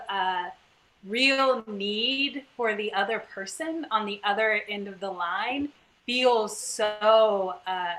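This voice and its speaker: A woman's voice: a little high, sharp tone, rough recording. A woman actor reflecting casually on her work in a panel chat, earnest and emphatic, often circling for the right word.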